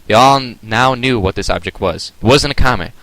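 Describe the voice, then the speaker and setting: A man's voice: mid-range voice, fairly quick, fairly bright tone, no room sound, low-quality recording. A man reading his own fan fiction aloud late at night with a sore throat, casual and hesitant. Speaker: amateur reader. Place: home at night.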